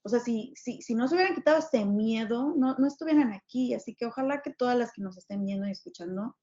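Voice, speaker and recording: A woman's voice: on the high side, quick pace. Emphatic Mexican woman guest. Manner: earnest, emphatic, animated storytelling. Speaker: guest, journalist and businesswoman. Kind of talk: podcast interview conversation. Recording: dull lo-fi sound.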